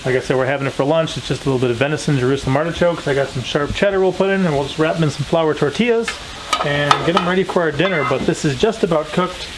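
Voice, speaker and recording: A man's voice: fairly high pitch, fast, noisy background. A man in his thirties, a home cooking vlogger, casually explaining a simple recipe in a small kitchen as he cooks. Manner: relaxed, rambling, explains as he cooks. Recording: clear kitchen sizzle behind voice. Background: pan sizzling and frying.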